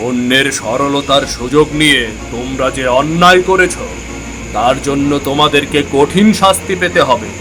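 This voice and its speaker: A man's voice: medium pitch, moderate pace, natural voice, rough recording. A man voicing a stern, authoritative village headman who hands down judgments in a cartoon. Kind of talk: cartoon voice acting. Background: background music.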